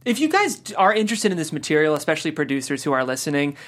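A man's voice: on the high side, very animated, very fast, a bit bright. A man hosting a podcast talk after a script reading, speaking earnestly and with many fillers. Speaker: podcast host. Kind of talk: casual discussion after a table read.

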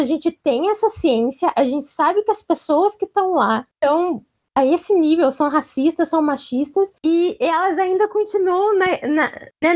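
Panel guest, female, southern Brazilian accent. High voice, casual and reflective delivery. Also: darkish tone. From Curitiba, southern Brazil.

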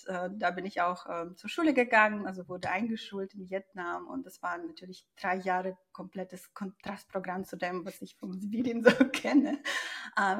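A woman's voice: mid-range voice, husky. A woman from Siberia, a native Russian speaker, casually telling personal stories as a podcast guest, searching a little for words. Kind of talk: casual personal storytelling in an interview.

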